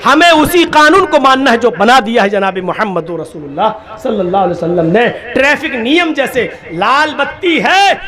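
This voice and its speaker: A male preacher giving an impassioned sermon, often raising his voice to a shout and slowing down to recite Arabic prayers. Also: extremely high pitch. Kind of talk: Islamic sermon.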